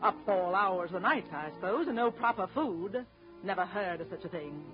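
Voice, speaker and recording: A woman's voice: medium pitch, animated, normal speed, lightly acted. Actress as grumbling older mother, muffled old radio. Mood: disapproving, grudging. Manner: brusque, disapproving. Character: stern, grudging, complaining older mother, small-town busybody. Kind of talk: 1930s radio drama acting. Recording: dull 1930s radio recording, music behind.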